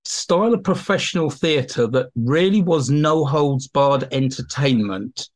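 A man's voice: mid-range voice, animated, a little slow. A man explaining his specialist subject as an interview guest, informal, enthusiastic and given to digressions. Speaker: expert guest, teacher and writer.

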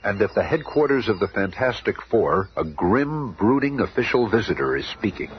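A solemn, theatrical narrator of a superhero radio serial. His lowish voice swings dramatically through each phrase, over a noisy old recording. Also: sing-song, normal speed, lo-fi sound. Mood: grave, dramatic.

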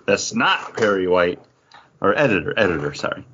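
A man's voice: low-pitched, very animated, moderate pace, pushed and bright, slight background noise. A man co-hosting a podcast, chatting casually about comics, rambling with lots of fillers and restarts. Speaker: podcast co-host. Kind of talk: casual comic review chat. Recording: dull lo-fi podcast audio.

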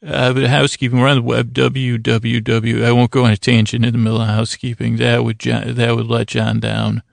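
A man hosting a bedtime podcast in a meandering, halting monologue, gently silly and self-deprecating, with words repeated and thoughts drifting off into tangents. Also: medium pitch, monotone, dry recording, studio quality. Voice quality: gravelly, creaky. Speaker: podcast host. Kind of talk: rambling bedtime monologue to help listeners sleep.

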